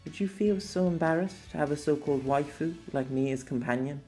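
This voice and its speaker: A man voicing a gentle, sad young woman who talks softly and earnestly. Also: fairly high pitch, fairly quick, faint music behind, lightly acted, low-quality recording. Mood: wistful.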